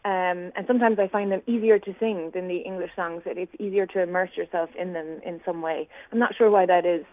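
A woman's voice: fairly low pitch, fairly even intonation, brisk, hardly any pauses, no room sound. Irish woman guest calling in, thoughtful and explanatory, phone-line sound, dry. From Ireland, County Clare. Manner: reflective, long explanatory answers. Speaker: guest musician. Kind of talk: radio interview answers.

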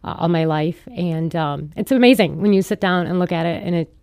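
A woman's voice: fairly low pitch, fairly quick, a little mellow, smooth, no room sound, clean studio sound. A woman talking conversationally as a guest on a radio panel. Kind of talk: conversational interview talk. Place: radio studio.

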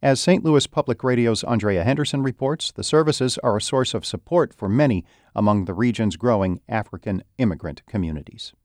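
A man anchoring a radio newscast, reading the news in a steady, formal way. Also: on the low side, fairly quick, hardly any pauses, no echo.

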